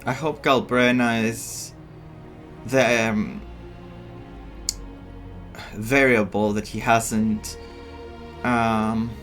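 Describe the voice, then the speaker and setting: A man giving casual, musing playthrough commentary as a game streamer, with halting reactions. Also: mid-range voice, fairly even intonation, a bit bright. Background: game music.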